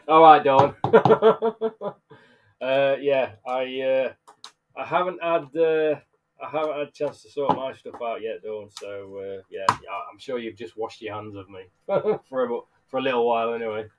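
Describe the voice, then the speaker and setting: A man's voice: mid-range voice, a little slow, lo-fi sound, slight background noise. Nasally blocked-up man chatting. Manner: rambling, laughs often, chats to viewers by name. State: blocked up nose, nasally from illness. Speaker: live streamer and bug keeper. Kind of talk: casual livestream chat. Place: bug room, indoors.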